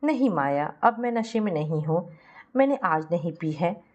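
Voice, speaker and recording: A woman's voice: lowish voice, lively intonation, normal speed, darkish tone, dull audio. A woman voicing a remorseful husband, pleading and apologetic, in a family story. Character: remorseful husband pleading with his estranged wife.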